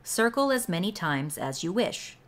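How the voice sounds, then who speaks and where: A woman reading aloud from a scripted ritual text in a measured, deliberate way. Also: fairly low pitch, sing-song, a bit bright. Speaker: read-along host and reader. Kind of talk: slow scripted read-aloud of a ritual book.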